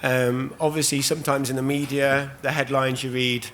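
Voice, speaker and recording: A man, hoarse from losing his voice, hosts a live conference panel in a loose, chatty way with many ums and restarts. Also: medium pitch, flat delivery, normal speed, fairly bright tone. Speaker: panel host. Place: conference stage at a trade show.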